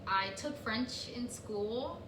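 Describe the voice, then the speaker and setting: A woman whose first language is Spanish chats casually as a co-host, full of fillers and restarts. She sits back from the mic in an echoey room. A steady hiss of noise runs under her voice. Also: a little high, slow pace, distant mic. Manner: chatty, lots of fillers and restarts. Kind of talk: casual chat.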